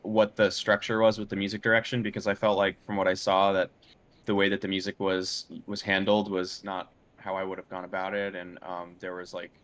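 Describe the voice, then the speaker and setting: A man with a very low-pitched voice talks casually as a guest in an interview. He is hesitant and feels his way through each thought as he speaks. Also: monotone, a little fast, fairly bright tone, slight background noise. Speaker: interview guest, film and game composer.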